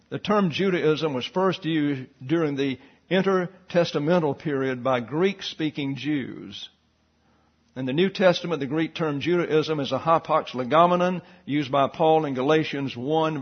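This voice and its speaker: A man teaching a Bible study lecture, reading passages and footnotes aloud with asides and slowly spelling out Greek words. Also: fairly high pitch, slightly rough, lo-fi sound.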